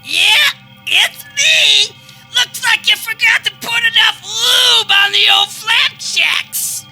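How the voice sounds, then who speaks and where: An actor playing the ghost of a brash, crude old diner aunt in a comedic radio play, with a creaky, strident, shouting delivery. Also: very high-pitched, takes it slowly, faint music behind, full character voice, low-quality recording. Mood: gleefully crude. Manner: loud, brassy, shouting.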